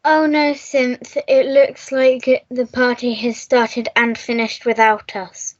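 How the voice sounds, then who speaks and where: A woman's voice: fairly high pitch, flat delivery, takes it slowly. A woman playing a posh, affected, languidly disapproving society girl in a radio melodrama. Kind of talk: melodramatic radio play acting. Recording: dull lo-fi recording.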